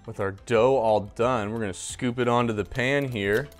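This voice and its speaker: A man's voice: medium pitch, normal speed, soft background music. A young man hosting a casual home cooking show in a kitchen, chatting upbeat while talking to a silent mascot beside him, on a lapel mic. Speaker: host.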